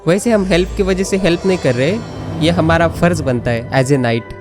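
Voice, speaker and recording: A man's voice: on the high side, lively intonation, racing, over music. A man with an Indian accent giving chatty, casual let's play commentary, switching between Hindi and English. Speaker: gaming video host.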